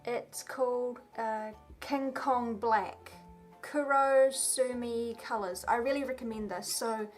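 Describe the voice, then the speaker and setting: Woman, highish voice, slow instructive tutorial talk with fillers, faint music under her. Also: fairly even intonation. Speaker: tattoo video creator. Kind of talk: tutorial video.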